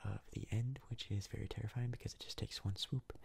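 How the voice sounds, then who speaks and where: A man giving hushed, casual ASMR game commentary in a breathy, gravelly whisper, close to the mic. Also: fairly low pitch, fairly even intonation. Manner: hushed, casual, unhurried rambling. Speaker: gaming video host.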